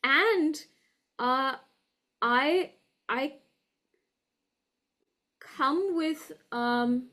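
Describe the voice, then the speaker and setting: A woman with a fairly high voice and an educated, upper-class Indian English accent. She talks as a reflective interview guest, articulate and deliberate. She lands her points with emphasis and often seeks agreement as she goes. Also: pushed and bright. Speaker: guest, university professor.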